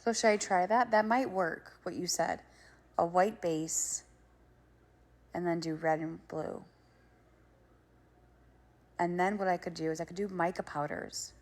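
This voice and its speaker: A woman's voice: on the low side, a little slow, pauses often, a little mellow. A woman from Florida livestreaming, thinking aloud and chatting casually while she works on a craft, with a rambling manner and casual swearing.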